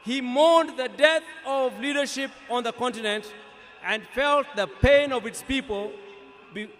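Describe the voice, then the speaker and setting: A man with a Kenyan accent reading a formal, solemn scripted eulogy aloud, with emphatic, deliberate phrasing. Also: very high voice, a bit bright. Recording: long reverb of a large public address.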